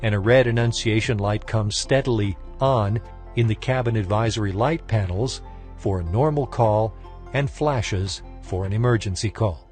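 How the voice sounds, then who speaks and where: A man reading a scripted technical training lesson in a formal, measured, instructional manner. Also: lowish voice, a little mellow. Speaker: instructor narrator.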